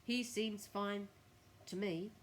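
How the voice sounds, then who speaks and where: A woman voicing a shy, lovestruck man in a children's storybook, speaking politely in a measured, solemn way. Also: mid-range voice, steady tone, a little hiss or hum, natural voice. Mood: earnest, solemn.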